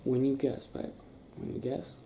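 Young guy doing boyfriend asmr, slow n mellow, breathy and teasing, roomy bedroom sound. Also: medium pitch, completely flat delivery. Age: young adult. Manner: soft, affectionate, teasing, often near a whisper. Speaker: ASMR roleplay creator. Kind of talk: ASMR boyfriend roleplay, improvised one-sided conversation. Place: small bedroom, lying on a bed indoors. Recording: roomy sound with faint background noise.

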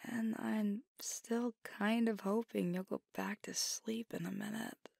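A woman voicing a fiery, stubborn werewolf girlfriend in a whispered ASMR roleplay, slow and teasing with small laughs. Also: mid-range voice, fairly even intonation, gravelly, darkish tone, slightly rough, no echo, studio quality, exaggerated voice. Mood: sleepy reluctant. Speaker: voice actor.

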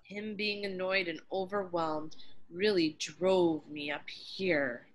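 Low-pitched, slow-reading woman. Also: fairly even intonation. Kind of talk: reading a sitcom script aloud at a table read. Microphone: video call.

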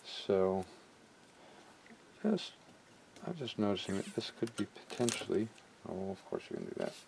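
A man narrating a hands-on repair casually, haltingly thinking aloud as he works. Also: sits low, expressive, vocal fry, noisy background. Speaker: repair hobbyist and video maker. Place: indoor cluttered electronics workbench.

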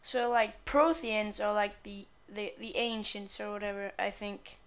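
A woman's voice: mid-range voice, flat delivery, unhurried. A woman giving casual, irreverent video game commentary, playfully muttering asides as she plays.

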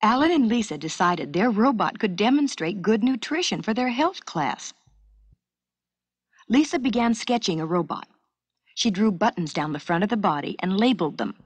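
A woman reading scripted story narration for an educational film strip, with a clear storytelling delivery. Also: medium pitch, expressive, moderate pace, no echo.

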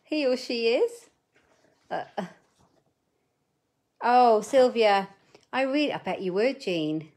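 A woman's voice: on the high side, lively intonation, takes it slowly, pauses often, a little mellow. A middle-aged woman hosting a live crafting demo from her desk at home, chatting with viewers and muttering asides as she works. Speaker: papercraft host.

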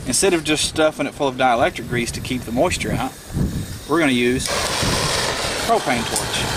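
A man giving a casual, unscripted hands-on tutorial, thinking aloud as he works outdoors. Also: on the high side. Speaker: DIY vlogger. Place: outdoors in a rural yard. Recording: noisy outdoor camcorder audio. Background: clear outdoor ambient noise.

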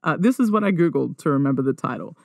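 A man's voice: mid-range voice, lively intonation, fast talker, creaky, dry recording, studio quality. A man hosting a podcast, reading scripted narration in a wry, informal way with conversational asides. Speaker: podcast host.

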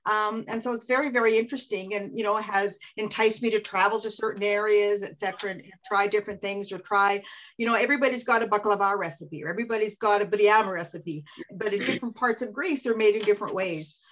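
Female moderator, gracious and measured, muffled video call audio. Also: mid-range voice, a bit bright. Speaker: webinar moderator. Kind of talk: moderating and introducing guests.

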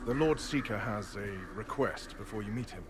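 An actor playing an earnest, dutiful young knight in a video game, speaking in a formal, measured way. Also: male voice, lowish voice, expressive, heavy background noise, natural voice. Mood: restrained, serious. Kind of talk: video game cutscene acting.

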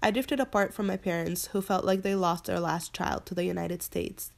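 Young woman with a gravelly voice. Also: lowish voice, fairly even intonation, moderate pace. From Palestine. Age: young adult. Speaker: student narrating her own immigration story. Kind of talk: personal narration.

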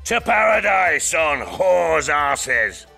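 Bright-voiced boozy soldier. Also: male voice, fairly high pitch, animated, drawn-out words, gravelly, soft background music, heavily acted. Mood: rowdy, boozy. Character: boisterous, boozy, crude male soldier. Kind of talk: video game voice acting.

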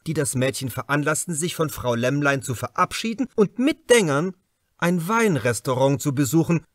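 A man delivers scripted literary narration in a mid-pitched voice. He phrases deliberately and leans on key words for drama. The sound is dry, with no room around it. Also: no room sound. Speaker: audiobook narrator.

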